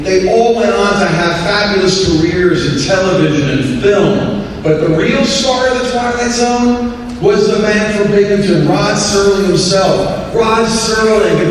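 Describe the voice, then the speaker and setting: A man giving an emphatic live presentation in a theatre, recorded from a distance. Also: sits high. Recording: echoey hall from far off, faint noise.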